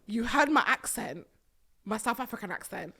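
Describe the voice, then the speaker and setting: Young woman, podcast host, bright forceful voice, emphatic casual chat, studio boom mic. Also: moderate pace, creaky, husky. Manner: animated and emphatic, bursts of laughter. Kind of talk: casual chat reviewing a reality show. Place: small purple-lit studio set on a sofa. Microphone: studio mic on a boom arm.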